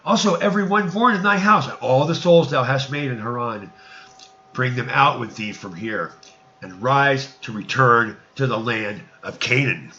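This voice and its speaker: A man's voice: medium pitch, normal speed, bright, forceful tone, lo-fi sound, lightly acted. A man voicing God in a scripture story, solemn and commanding, with archaic, declamatory phrasing.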